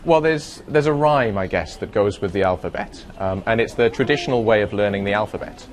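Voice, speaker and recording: A man's voice: lowish voice, fairly quick. A man interviewed in a studio as a guest, chatty, a little hesitant and wry. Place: broadcast studio.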